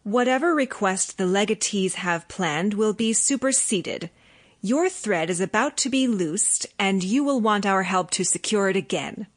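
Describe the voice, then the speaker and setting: A woman voicing a cold, imperious non-human being in a human body, speaking flatly, formally and haltingly in an audiobook. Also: medium pitch. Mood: flat, ominous. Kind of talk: audiobook character acting.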